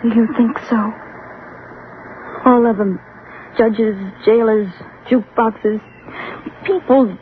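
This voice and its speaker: A woman's voice: fairly high pitch, steady tone, slow pace, pauses often. An actress playing a bitter, guarded, lonely woman with a secret in an old radio drama, intense and dramatic in delivery. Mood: bitter, impassioned. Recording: muffled, noisy vintage radio recording.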